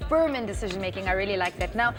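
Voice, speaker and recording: A South African woman hosting a youth TV interview in an informal, chatty, expressive way. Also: medium pitch, rapid-fire, music in the background. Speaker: TV show host. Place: TV studio.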